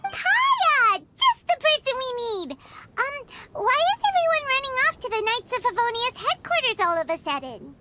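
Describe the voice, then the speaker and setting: Squeaky lil game fairy girl, super high, bubbly n chatty, bouncy pitch. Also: very animated, moderate pace, pushed and bright, soft background music, exaggerated voice. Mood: curious, excited. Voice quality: squeaky, childlike. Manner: bubbly, exclaiming, curious. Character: small excitable chatty fairy girl companion. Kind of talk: video game voice acting.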